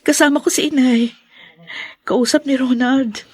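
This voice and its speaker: A woman's voice: sits high, fairly even intonation, unhurried, darkish tone, slightly rough, dry recording. A woman acting a remorseful, earnest young daughter in a radio drama, speaking with pleading emotion. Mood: anxious, relieved.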